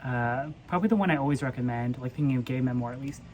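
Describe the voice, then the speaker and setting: A woman's voice: a bass voice, quick pace, a little mellow, muffled recording, a little hiss or hum. A woman co-hosting a book vlog, chatting casually as she reads questions and gives her answers. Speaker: book vlogger co-hosting a Q&A tag. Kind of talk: casual chat.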